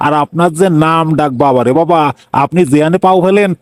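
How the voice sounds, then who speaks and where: Man acting flattering cartoon henchman, high pitch, obsequious, Bangladeshi regional accent, dry close sound. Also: a little fast, clear, resonant tone, no room sound, lightly acted. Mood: fawning. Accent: Bangladeshi regional Bengali. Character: fawning, flattering male henchman of a cartoon villain. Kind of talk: comic cartoon dialogue.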